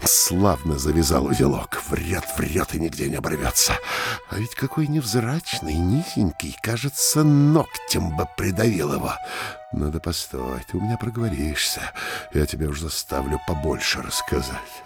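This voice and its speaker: An actor playing a sly, fawning middle-aged provincial mayor in a comic audio play, gushing obsequiously and muttering conspiratorial asides. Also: male voice, very deep voice, expressive, normal speed, gravelly, music in the background, full character voice. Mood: scheming, suspicious aside. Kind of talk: theatrical comedy audio play acting.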